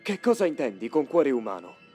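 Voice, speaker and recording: A man's voice: mid-range voice, very animated, a little fast, darkish tone, natural voice. A man voicing an earnest, incredulous young man in a motion comic dub, emotional and questioning. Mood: tense. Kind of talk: dramatic motion comic dubbing. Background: faint music.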